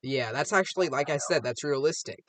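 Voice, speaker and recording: A man's voice: a little high, a little fast, a bit bright, no echo. A man giving casual, off-the-cuff reaction commentary, musing aloud and posing rhetorical questions. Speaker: reaction video host.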